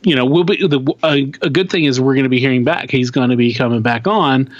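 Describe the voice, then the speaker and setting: A man co-hosting a podcast in casual chat, speaking in a halting, informal way full of slangy asides. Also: mid-range voice, no room sound, lo-fi sound. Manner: halting, informal slacker speech with dude and man. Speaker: podcast co-host.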